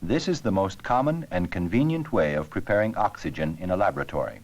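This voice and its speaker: A man narrating an educational science film with scripted, measured, explanatory delivery. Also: sits low, animated, darkish tone.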